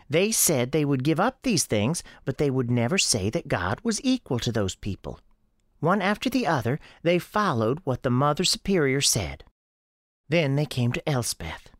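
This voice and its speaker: A woman voicing a young girl with a high girlish voice and a folksy, childlike storytelling manner in an audio drama. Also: no echo, clean studio sound. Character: young Southern girl Sarah, earnest, defiant, chatty. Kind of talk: acted audio drama dialogue.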